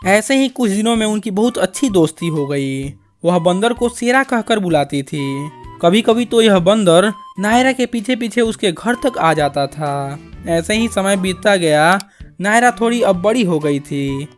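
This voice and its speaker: A woman's voice: medium pitch, lively intonation, unhurried, soft background music. A woman reading scripted narration for a cartoon story.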